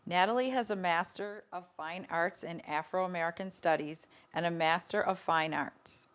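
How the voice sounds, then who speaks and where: A woman with a somewhat low voice reads a prepared introduction. Her delivery is formal and clear, and each item is spoken evenly. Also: moderate pace. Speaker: event presenter.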